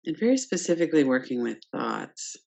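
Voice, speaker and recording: A woman teaching guided meditation, speaking calmly and gently in short phrases with pauses between them. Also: very deep voice, gravelly. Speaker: yoga nidra meditation teacher. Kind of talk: guided meditation, unscripted instruction.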